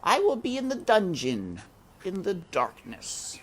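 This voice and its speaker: Storybook rat, male, brooding and bitter, muttered lines pitched high above the reader's own voice as a man reads it. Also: wildly swooping pitch, slow, slight background noise, exaggerated voice. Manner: muttering darkly.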